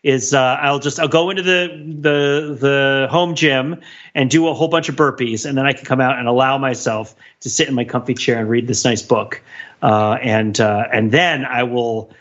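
A man's voice: medium pitch, steady tone, normal speed, fairly bright tone, dull audio. A man co-hosting a casual podcast in a wry, self-deprecating, digressive way. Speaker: podcast co-host. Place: near his home office.

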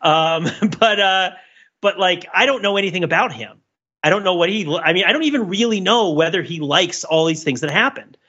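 A man in a casual panel discussion, rambling through wry, animated, digressive analysis of pop culture. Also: high voice, brisk, slightly rough, muffled recording. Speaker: podcast panelist.

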